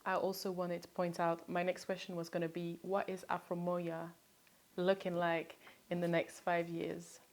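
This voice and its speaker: A woman hosting a casual interview, lively, encouraging and playful with her guest. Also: on the low side, moderate pace. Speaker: interviewer, host.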